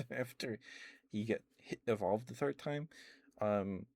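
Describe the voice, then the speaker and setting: Deep-voiced man rambling softly up close. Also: no echo. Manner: meandering, chatty, with soft chuckles. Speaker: ASMR streamer. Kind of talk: soft-spoken ASMR rambling. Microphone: close microphone.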